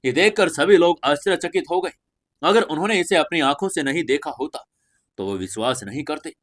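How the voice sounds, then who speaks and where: A male storyteller hosting a serial audio drama. His voice sits a little above average in pitch, and he delivers scripted narration with drama. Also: animated, brisk, fairly bright tone.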